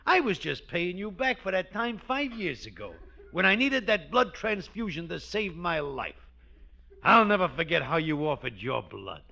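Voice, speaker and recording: An actor playing an earnest, sappy and self-satisfied working-class American pal in a 1940s radio comedy. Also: male voice, high voice, big pitch swings, moderate pace, vocal fry, sharp tone, heavily acted. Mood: falsely modest. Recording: dull vintage 1940s radio recording.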